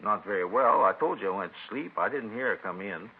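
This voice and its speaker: A man playing a folksy, stubborn old father in a 1950s radio crime drama, gravelly and rambling. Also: on the low side, lively intonation, brisk, a little hiss or hum. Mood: rambling, insistent. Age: older. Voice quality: gravelly, husky. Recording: muffled vintage radio recording.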